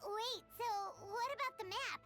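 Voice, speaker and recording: A woman voicing a tiny childlike companion in an anime-style video game, blunt, curious and excitable, with a squeaky voice. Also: moderate pace, soft background music, heavily acted. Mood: puzzled, questioning.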